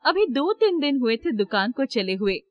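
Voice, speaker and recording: High-voiced woman storyteller. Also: sing-song, moderate pace, muffled recording. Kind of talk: scripted narration of a children's moral story.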